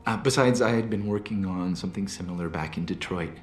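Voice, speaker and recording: A man's voice: low voice, brisk, natural voice. Man voicing an anxious, faltering captive scientist. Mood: hesitant, subdued. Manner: hesitant, faltering, stammering. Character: anxious, captive male scientist, timid and apologetic. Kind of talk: video game cutscene dialogue. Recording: roomy game cutscene with music. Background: background music.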